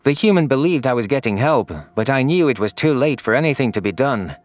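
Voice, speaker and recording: A man's voice: mid-range voice, expressive, fairly quick, close to an everyday voice. A man voicing an anguished, vengeful young furry alien who narrates his own audio story in an earnest, solemn, dramatic way. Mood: self-loathing.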